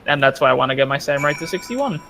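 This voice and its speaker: A man's voice: fairly high pitch, animated, very fast, creaky, sharp tone, soft background music. A man talking casually with friends on a gaming voice chat. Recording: noisy voice chat mic.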